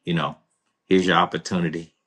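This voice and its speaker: Man, deep voice, laid-back guest, rambling, lots of fillers. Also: a little fast. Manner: relaxed, rambling, many fillers and restarts. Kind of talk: casual interview answers.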